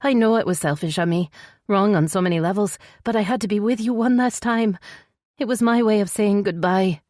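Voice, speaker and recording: A soft, shaky young princess played by a woman narrator, raised well above the narrating voice. She pleads with sorrow and desperation but holds firm, in the manner of a dramatic romance audiobook, with a dry, close sound. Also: a little fast, no room sound, natural voice. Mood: tearful, sorrowful. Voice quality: soft, shaky, higher-pitched voice.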